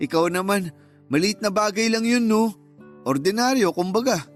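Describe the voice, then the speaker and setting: An actor playing a flirtatious, flattering suitor in a radio drama dialogue. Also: male voice, high voice, a little slow, soft background music. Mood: playful, flirting. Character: flirtatious, flattering suitor chatting up a taken woman.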